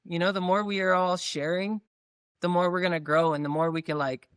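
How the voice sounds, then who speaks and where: A middle-aged man, a guest dancer, talking in a relaxed, thoughtful way with lots of "like" and "you know". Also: sits high, fairly quick, clear, resonant tone, no room sound, clean studio sound. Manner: relaxed, thoughtful, uses "like" a lot. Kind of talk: reflective interview talk.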